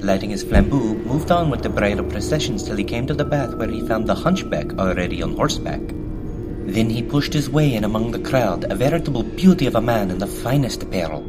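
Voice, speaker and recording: A man narrating an audio drama in measured storytelling tones with archaic phrasing. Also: on the low side.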